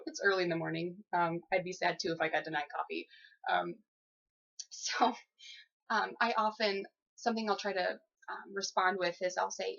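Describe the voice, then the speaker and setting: A dull, lo-fi recording of a young woman with a medium-pitched voice. She speaks politely and conversationally, like an applicant in a job interview. Her manner is easygoing and a little giggly. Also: gravelly, a bit bright. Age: young adult.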